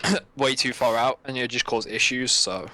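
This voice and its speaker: Man, bright tone, casual explaining, keyboard typing behind, livestream co-host. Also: mid-range voice, normal speed. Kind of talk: casual chat answering questions.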